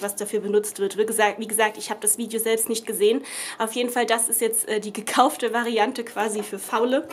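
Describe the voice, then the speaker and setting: A young woman with a cold talks her way through a crafting demo at a table at home, rambling and correcting herself as she goes. Her voice sits a little high. A faint hiss stays under the recording. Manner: chatty, rambling, many fillers and self-corrections. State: has a cold. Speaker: craft vlogger. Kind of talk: casual crafting tutorial chat. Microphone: camera above the table, speaker off screen.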